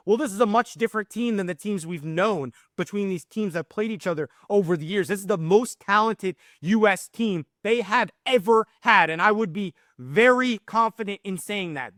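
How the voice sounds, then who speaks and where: Hoarse high-voiced man hyping hockey. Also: dry recording, studio quality. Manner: excited, emphatic. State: hoarse, losing his voice. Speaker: hockey channel host. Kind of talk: casual hockey commentary.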